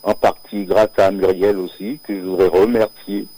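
A singer from Martinique, born near Paris, talking as a guest on a live radio show, hesitant, with many fillers and restarts and frequent laughs. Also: male voice, sits low, level tone, moderate pace, warm tone, husky, rough recording. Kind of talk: live radio interview, casual chat. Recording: radio broadcast audio.

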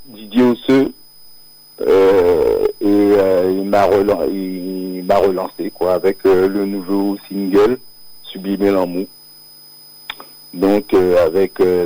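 A singer from Martinique, born near Paris, talking as a guest on a live radio show, hesitant, with many fillers and restarts and frequent laughs. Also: male voice, lowish voice, mellow, rough recording. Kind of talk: live radio interview, casual chat. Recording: radio broadcast audio.

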